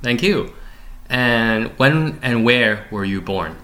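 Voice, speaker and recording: A man's voice: medium pitch, expressive, takes it slowly, pushed and bright. A young man, a graduate student, conducting an oral history interview with polite, formal questions.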